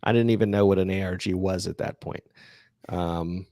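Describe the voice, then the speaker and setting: A man hosting a podcast livestream, chatting casually and answering listener questions in a halting, self-effacing way. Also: sits low, steady tone, dark tone, no echo. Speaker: podcast creator and livestream host.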